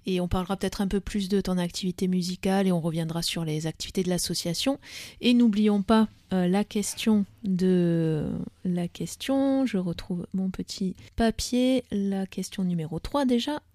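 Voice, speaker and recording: A woman hosting a casual radio interview, relaxed and chatty, giving short backchannels. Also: mid-range voice, normal speed, no room sound, studio quality. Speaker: radio host.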